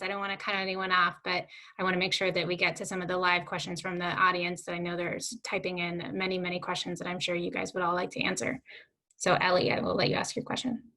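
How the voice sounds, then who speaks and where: A woman's voice: fairly low pitch, pushed and bright. A woman moderating a forum in a polite, conversational and informal way.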